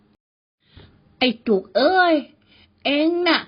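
Woman doing a gruff, rough-spoken village father. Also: extremely slow, lo-fi sound. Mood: scolding. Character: gruff rural father, blunt and scolding.

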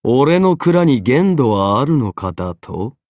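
A man's voice: medium pitch, sing-song, takes it slowly, darkish tone, no echo, studio quality. An actor playing a haughty, easily bored golden-armored king in an anime game, pompous, imperious and condescending. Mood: arrogant, condescending. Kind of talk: dramatic anime game character voice acting.

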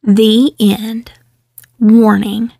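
Unhurried woman storyteller with creaky voice. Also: a little high, flat delivery, mellow, no echo. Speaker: children's book reader. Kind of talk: scripted storybook read-aloud.

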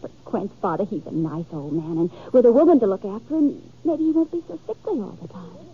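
An actress playing an earnest young frontier bride-to-be in a 1950s western radio drama, pleading and hesitant. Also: female voice, medium pitch, lively intonation, fairly quick, slight background noise, close to an everyday voice. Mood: apologetic. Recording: muffled 1950s radio recording.

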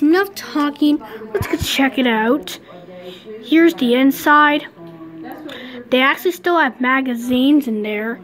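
A woman filming a casual walk-through vlog outside a closed restaurant, rambling and often shrugging things off with "well, whatever.". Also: high voice. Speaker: vlogger exploring an abandoned building. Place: outdoors around a closed restaurant building.

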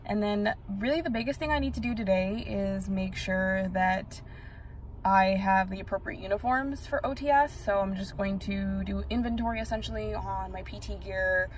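A woman's voice: medium pitch, moderate pace, slight background noise. A young woman talking casually into her phone camera, rambling about her day with frequent ums. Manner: chatty, rambling, lots of ums. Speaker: vlogger. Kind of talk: casual day-in-the-life vlog. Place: inside a parked car, then a home kitchen. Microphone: phone camera at arm's length.

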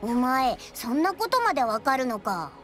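A woman's voice: sits high, sing-song, normal speed, fairly bright tone. A woman voicing a blunt, informal, boyish adventuring companion in a Japanese video game. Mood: puzzled. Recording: music behind the voice.

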